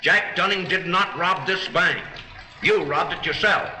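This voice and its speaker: An actor playing a shrewd, blunt small-town lawman in a 1930s film, deliberate and dryly sarcastic as he probes and accuses. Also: sits high, bright, forceful tone, full character voice. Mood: accusing, stern. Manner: deliberate, probing, with dry sarcasm. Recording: roomy 1930s film soundtrack, music underneath.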